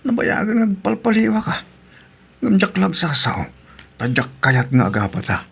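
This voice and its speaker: A man's voice: high-pitched, big pitch swings, moderate pace, vocal fry, fairly bright tone, breathy edge, full character voice. A man playing a weary husband pleading with his wife in a radio drama, with an Ilocano Filipino accent.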